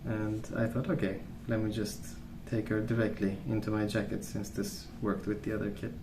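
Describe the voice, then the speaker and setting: Soft-spoken, gravelly low-voiced man, ASMR. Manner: calm, gentle, unhurried storytelling. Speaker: ASMR video creator. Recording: roomy sound with clear background noise.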